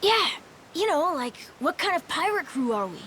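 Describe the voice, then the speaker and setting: A woman voicing an eager, bubbly young pirate in a video game, earnest and excitable. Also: very high-pitched, normal speed, a little hiss or hum, heavily acted. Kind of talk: video game cutscene acting.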